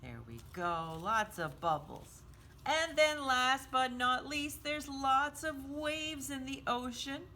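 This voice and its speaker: A woman with a bright, clear-cut voice talks young children through a craft step by step. Her pitch swings widely in a sing-song way as she gives simple instructions. Faint noise sits behind her. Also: animated, slow pace. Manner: sing-song, simple child-directed explaining, often refers to self in third person. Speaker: children's storytime and craft host.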